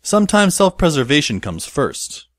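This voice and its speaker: A man playing a wry, resigned teenage schoolboy who narrates an audio drama in a deadpan manner. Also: on the high side, normal speed, no room sound, studio quality. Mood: wry, measured. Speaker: narrator and lead character. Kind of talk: first-person scripted audio drama narration. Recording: music behind the voice. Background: instrumental music.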